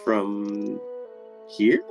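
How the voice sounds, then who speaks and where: A man playing a wary, mild-mannered adventurer in casual tabletop roleplay, talking in a hesitant, halting way. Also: lowish voice, creaky, a little mellow, faint music behind, exaggerated voice.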